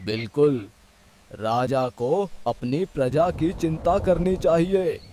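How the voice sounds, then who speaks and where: Male actor, earnest cartoon creature, dark soft tone, slow, faint noise behind. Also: on the high side. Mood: firm.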